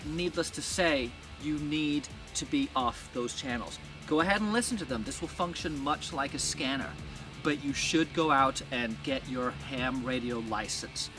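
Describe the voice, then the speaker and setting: A man's voice: mid-range voice, normal speed, distant mic, over music. A middle-aged American man narrating a gear review in a plain-spoken way. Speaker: presenter of an off-road gear channel.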